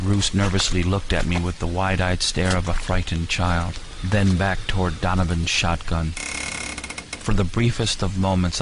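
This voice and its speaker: A man giving grave, deliberate, dramatic first-person narration for an audio story. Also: deep voice, noisy background. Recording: music bed with rain sounds.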